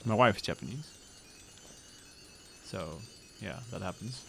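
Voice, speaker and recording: A man in his thirties, streaming live and chatting casually with viewers in a relaxed, rambling way. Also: low-pitched, a little slow, vocal fry, slight background noise.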